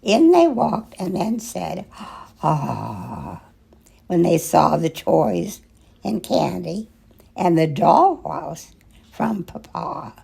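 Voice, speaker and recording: Older lady reading a kids picture book, really husky, slow and gentle w/ pauses. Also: fairly low pitch, very animated. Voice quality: husky, gravelly. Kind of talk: children's storybook read-aloud, slow and expressive.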